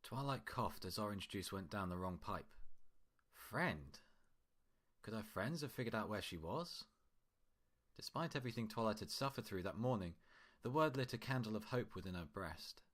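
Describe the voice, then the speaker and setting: A man's voice: fairly low pitch, animated, moderate pace, a bit bright. A man reading a scripted piece of fiction aloud as its narrator.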